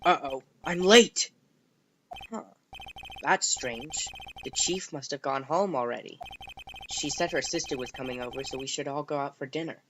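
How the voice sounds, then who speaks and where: A woman's voice: very deep voice, normal speed, pauses often, background noise. A woman playing an earnest, anxious young law-office subordinate in a game fan dub, with dramatic, flustered delivery.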